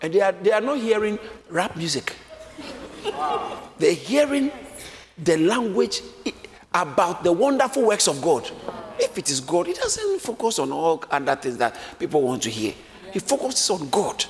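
A man preaching a sermon, lively and often acting out the voices of a crowd, joking with his congregation. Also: sits high, normal speed. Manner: animated, acts out crowd voices, jokes with congregation. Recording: echoey hall with faint room noise.

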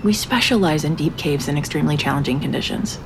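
Blunt expert diver: lowish gravelly voice, firm, probing, naturalistic drama over light ambience. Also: female voice, quick pace, noisy background. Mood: firm, indignant. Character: determined, blunt female expert cave diver. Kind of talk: naturalistic audio drama acting.

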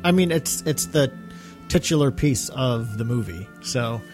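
A man's voice: medium pitch, expressive, unhurried, faint music behind. A man co-hosting a fan podcast, talking casually and chattily with rambling asides. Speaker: podcast co-host.

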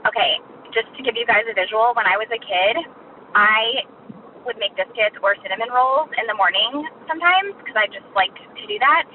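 Woman, listener voicemail, phone-band audio, clear background noise, casual storytelling. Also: fairly high pitch, moderate pace. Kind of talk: casual anecdote. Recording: tinny, noisy phone voicemail.

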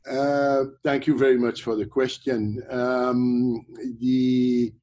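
A man's voice: mid-range voice, little pitch movement, slow pace, dark tone. A man in his sixties, raised in Belgium, answering interview questions as a professor, hesitant with many fillers and restarts. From Democratic Republic of Congo, raised in Belgium. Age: 60s.

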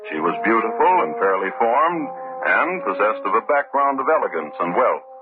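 An actor playing a wry, urbane crime-story narrator, reading scripted old-time radio narration with dry, deadpan irony. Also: male voice, lowish voice. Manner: deadpan, dryly ironic. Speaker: narrator and host. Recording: muffled old radio recording, music.

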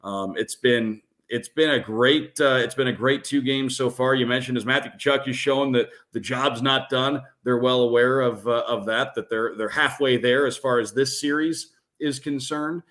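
A man's voice: medium pitch, fairly even intonation, normal speed, few pauses, a bit bright. A man talking as a sports broadcaster and podcast guest, analysing games and building his points step by step with emphatic repetition. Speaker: radio play-by-play announcer, podcast guest.

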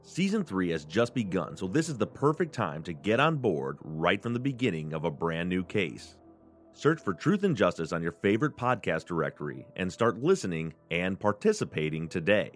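Deep-voiced man reading a podcast promo. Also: big pitch swings, normal speed, soft background music.

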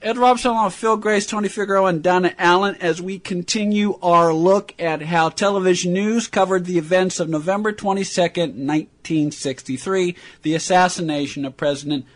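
A man's voice: high voice, fairly even intonation, a little fast, few pauses. An American man hosting a radio program, introducing and interviewing in a prepared style. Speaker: radio show host.

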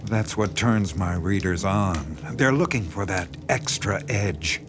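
Slow, low-voiced sinister horror writer. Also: male voice. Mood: smug, persuasive. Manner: slow, coaxing, intense, condescending. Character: sinister horror writer, coaxing, menacing, obsessive male predator. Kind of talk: dramatic radio horror acting. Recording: dramatic music behind the voice.

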